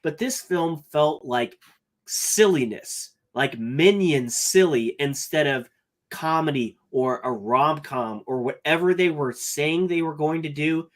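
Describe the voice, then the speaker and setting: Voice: mid-range voice, slow pace. A podcast co-host giving a casual movie review, earnest and thinking aloud as they work through long lists of points.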